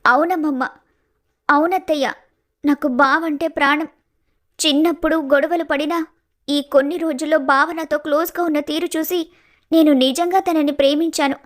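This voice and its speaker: A high, youthful female voice acted by a woman plays a gentle young village woman in a cartoon family tale. She is heartbroken and earnest, questioning herself with quiet sorrow. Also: fairly even intonation, normal speed, long pauses, natural voice. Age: young adult. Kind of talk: animated family story dialogue.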